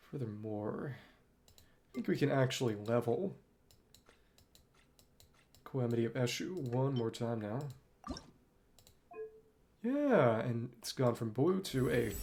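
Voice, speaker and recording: A man's voice: medium pitch, warm tone, slight background noise. A man giving casual let's-play commentary on a video game, thinking aloud as he plays. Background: game music.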